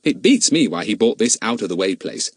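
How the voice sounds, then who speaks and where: Man playing a polite, cheeky schoolboy, animated. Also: fairly low pitch, brisk, husky, dry recording, clean studio sound. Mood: shrewd. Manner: polite, mock-innocent, talkative. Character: clever, cheeky, politely confident schoolboy sleuth.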